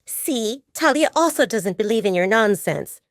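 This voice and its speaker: A woman performs a grumpy but teasing kitchen worker aged twenty-five. Her audio drama delivery is challenging and animated, in a dry recording. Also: on the high side, moderate pace, no echo. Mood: skeptical, teasing. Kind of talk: audio drama character acting.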